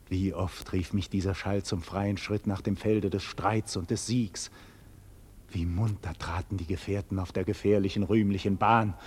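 An actor playing a proud, defiant condemned nobleman in a 1940s radio drama, declaiming solemnly and theatrically with a husky voice. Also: lowish voice. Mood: quiet resolve. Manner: solemn, slow, theatrical declamation with wide dynamic swings. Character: condemned heroic nobleman facing execution, proud, visionary, defiant. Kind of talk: 1940s German radio drama acting, classical stage declamation. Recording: 1940s radio recording with long hall reverb.

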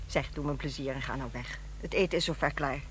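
An actress playing an unsentimental middle-aged ex-wife in a radio play, matter-of-fact and impatient. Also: female voice, extremely low pitch, quick pace, a bit bright, slight background noise. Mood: brisk dismissal. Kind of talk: naturalistic radio play acting.

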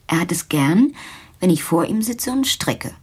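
An actress playing a disillusioned, talkative young kept woman in a German radio play, sardonic and confiding, with a husky voice. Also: fairly low pitch, sing-song, unhurried, full character voice. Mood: wry, musing. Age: young adult.

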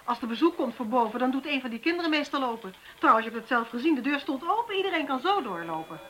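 A woman playing a brisk, inquisitive and sympathetic police detective in a naturalistic radio drama. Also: high-pitched, sing-song, fairly bright tone. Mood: pondering. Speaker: actor in a radio play.

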